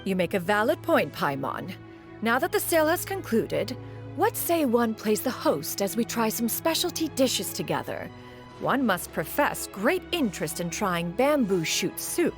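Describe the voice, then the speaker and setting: Ancient crane spirit, stately old lady, archaic formal speech, haughty, lively pitch. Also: measured pace, music in the background. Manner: archaic, formal, haughty, speaks of herself as "one".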